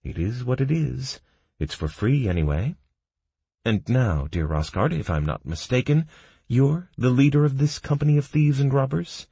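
Man putting on a flamboyant, lively-pitched theatrical schemer. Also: moderate pace, vocal fry, husky, dry recording, exaggerated voice. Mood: smooth, businesslike. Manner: grandiose, wry, exclamatory. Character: flamboyant, theatrical male consultant, a mysterious scheming operative.

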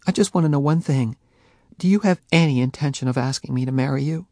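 A man's voice: sits high, lively intonation, moderate pace, gravelly, a little mellow, husky, dry recording, clean studio sound, pitched above the performer's own voice, heavily acted. A man reading an audiobook, voicing a heartbroken young woman who pleads tearfully and flares into anger. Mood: tearful insistent. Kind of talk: audiobook character voice.

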